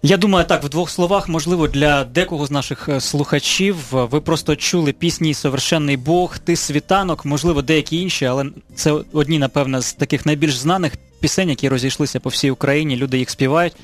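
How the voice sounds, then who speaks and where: A man hosting a radio interview, speaking in halting, hesitant phrases. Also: a little high, soft background music. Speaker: radio host. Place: radio studio.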